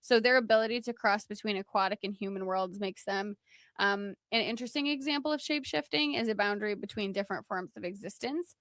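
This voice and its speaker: A woman's voice: mid-range voice, fast talker, fairly bright tone, no echo. A woman co-hosting a podcast, explaining folklore from notes in an informal, chatty way. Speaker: podcast co-host.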